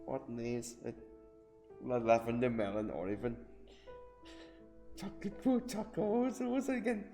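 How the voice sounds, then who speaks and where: A man giving casual, halting reaction commentary on a game, often repeating himself. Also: mid-range voice, lively intonation, warm tone. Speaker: streamer. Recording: reverberant room, game music behind.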